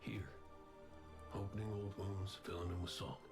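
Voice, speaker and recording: A man playing a wry, weary revived gunslinger hero in a video game cutscene, speaking haltingly and reflectively. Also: deep voice, little pitch movement. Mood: somber, reflective. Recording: music under the voice.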